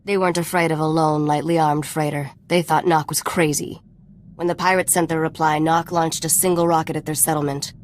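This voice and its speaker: A woman's voice: low voice, level tone, fairly quick, lightly acted. A woman playing a sly, cool, worldly treasure hunter in a video game, wry and knowing. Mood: storytelling.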